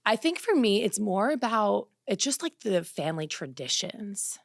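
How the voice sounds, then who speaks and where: A woman's voice: medium pitch, very animated, normal speed, vocal fry, dry recording, studio quality. A woman from New Jersey, raised speaking Greek, chatting casually as a podcast guest with plenty of "like" fillers. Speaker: guest, fashion and beauty influencer. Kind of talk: casual interview conversation.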